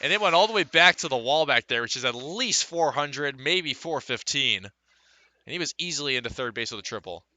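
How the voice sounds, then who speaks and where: A man's voice: medium pitch, lively intonation, normal speed, sharp tone. A man calling a baseball game as a live radio play-by-play announcer.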